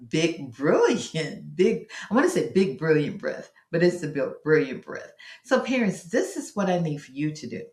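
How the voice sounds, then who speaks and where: A woman with a slightly low voice speaks in an echoing room, giving instructional advice to parents. She is encouraging and repeats her key phrases with emphasis. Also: darkish tone. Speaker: host of a parenting tips show. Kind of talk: instructional talk to parents, direct address. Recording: roomy, echoing voice recording.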